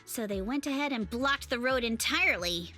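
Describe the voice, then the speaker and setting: A woman voicing a lively, teasing young woman in an anime video game, with an animated, playful manner. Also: on the high side, normal speed, soft background music. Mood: knowing, explanatory. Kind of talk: English video game voice acting.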